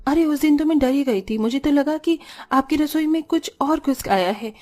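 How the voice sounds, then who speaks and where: A woman's voice: sits high, moderate pace, warm tone, lightly acted. A woman voicing an annoyed, dramatically complaining neighbour in a cartoon family drama. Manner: indignant, dramatic.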